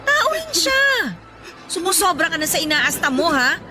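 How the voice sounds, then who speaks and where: Exasperated, high-voiced Filipino wife. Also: female voice, sing-song, moderate pace. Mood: reproachful, pleading. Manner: pleading, reproachful. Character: compassionate, exasperated wife of a shopkeeper. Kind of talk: Filipino radio drama acting.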